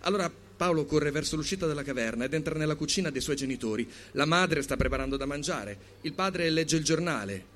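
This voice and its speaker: A man's voice: fairly high pitch, animated, brisk, few pauses, a bit bright. A man narrating a story as a dramatic reading, with an urgent, theatrical delivery. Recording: clear background noise.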